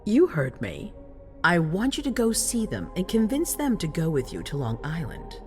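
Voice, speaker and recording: A woman's voice: fairly low pitch, huge pitch swings, normal speed, a little mellow, soft background music. An actress playing a cold, ruthless female vampire leader in a video game, speaking with imperious authority. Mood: cold, commanding. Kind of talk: dramatic video game acting.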